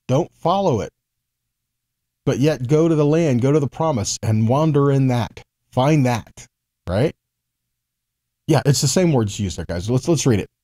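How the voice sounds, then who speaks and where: A man's voice: mid-range voice, dark tone, dry recording, studio quality. A middle-aged man teaching the Bible informally to camera, conversational and folksy, often checking in with his listeners. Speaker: Bible teacher and ministry host.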